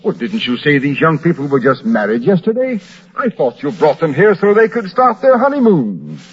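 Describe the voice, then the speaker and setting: A man's voice: fairly high pitch, wildly swooping pitch, moderate pace, husky, heavily acted. An actor playing a pompous, genial con man posing as a colonel, with flowery, hesitating speech, in a 1940s radio drama. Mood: sly, coaxing. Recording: noisy, dull old-time radio recording.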